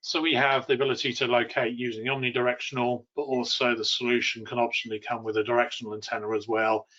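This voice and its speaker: A man's voice: medium pitch, little pitch movement, fast, pushed and bright, lo-fi sound. A man giving an informal live technical product demo, explaining in a loosely phrased, hesitant way. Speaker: product demonstrator and technical trainer.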